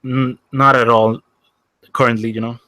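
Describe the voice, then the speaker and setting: A young man in his early twenties chats in an easy, unhurried way and hedges his words with fillers. His voice sits in a middle range. The sound is dry and close. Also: mid-range voice, level tone, pushed and bright, dry recording, poor audio. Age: 20s. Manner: laid-back, hesitant with fillers. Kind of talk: casual chat.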